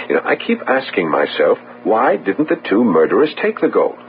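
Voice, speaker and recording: A man's voice: fairly low pitch, huge pitch swings, normal speed. Earnest radio policeman, thin sound. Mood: earnest, puzzled. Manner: polite, puzzled, earnest. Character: big, earnest, deferential young French policeman. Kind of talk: old radio drama acting. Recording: tinny old radio broadcast, humming noise.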